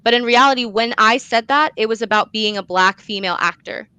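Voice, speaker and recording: A woman's voice: a little high, brisk, pushed and bright, clean tone, dry recording. A young adult woman answering in a conversational interview, earnest and emphatic, repeating phrases for stress. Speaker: guest, student actor and playwright.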